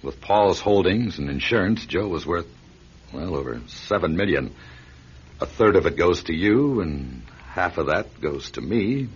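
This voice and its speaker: A man acting a cold, calculating attorney in a radio drama, commanding and deliberate. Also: low-pitched, animated, slightly rough, full character voice. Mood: smug. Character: cold, calculating male attorney, controlling schemer. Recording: dull, noisy radio recording.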